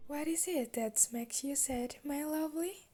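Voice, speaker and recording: A woman voicing a gentle queen mother in a storybook tale, consoling in a tender, hushed way. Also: high voice, measured pace, soft background music, voice pushed up. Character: gentle, soothing queen mother comforting her daughter.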